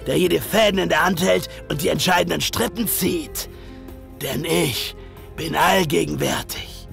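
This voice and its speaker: An actor playing a sinister, gloating secret killer in an audio drama monologue, smug and scornful. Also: male voice, high voice, gravelly, a bit bright, exaggerated voice.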